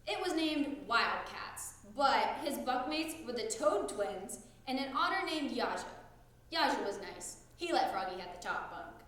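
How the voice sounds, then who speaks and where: A young woman reading a children's storybook aloud with expressive narration. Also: on the high side, measured pace. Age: young adult. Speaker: drama student reading aloud. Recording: very echoey room.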